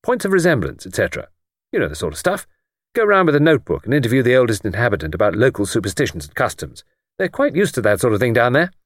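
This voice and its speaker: A man voicing a brisk, confident, chummy male friend in audiobook dialogue, breezy, slangy and emphatic. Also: mid-range voice, wildly swooping pitch, no room sound, clean studio sound. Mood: brisk, persuasive.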